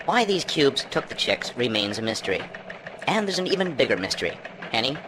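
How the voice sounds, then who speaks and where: Man as melodramatic chicken anchorman, mid-pitched and animated, mock-serious cartoon newscast over music. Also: a little fast, background noise, natural voice. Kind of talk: parody newscast delivery.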